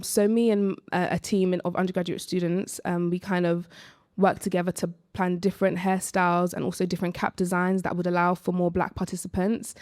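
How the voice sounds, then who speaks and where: Low, even-pitched young woman. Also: no echo, clean studio sound. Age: young adult. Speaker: panellist, early-career researcher. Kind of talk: live panel discussion, personal account.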